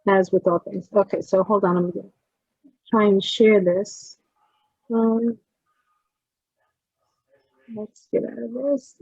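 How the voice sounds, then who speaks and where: A woman's voice: medium pitch, steady tone, slow, pauses often, a little mellow, breathy edge, dry recording. A woman with a New Jersey accent, a poet chatting casually as she leads a workshop over a video call and trails off while she works through screen sharing. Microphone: computer mic on a video call. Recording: dull, lo-fi video call audio.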